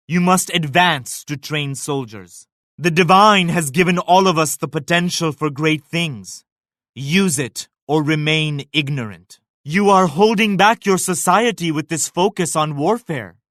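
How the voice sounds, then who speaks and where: An actor playing a proud, pious Mughal emperor in a video game, making grave, measured and lofty pronouncements. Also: male voice, on the high side, a bit bright, dry recording, studio quality. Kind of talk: video game character voice lines, formal declamation.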